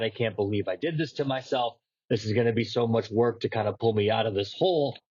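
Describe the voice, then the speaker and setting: A man's voice: mid-range voice, a little mellow, lo-fi sound. Congested, nasal man on a podcast. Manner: loose, rambling, swears casually. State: congested from a cold. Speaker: podcast co-host. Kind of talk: casual fitness podcast chat.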